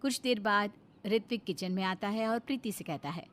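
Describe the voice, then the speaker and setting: A woman's voice: medium pitch, moderate pace, a bit bright, a little hiss or hum. A woman reading scripted narration for a cartoon story.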